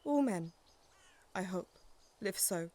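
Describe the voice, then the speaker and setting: A woman's voice: medium pitch, animated, takes it slowly, mellow. A woman playing a bitter, grieving noblewoman in a Shakespearean audio drama, with a formal, cutting, theatrical delivery. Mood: wary yielding.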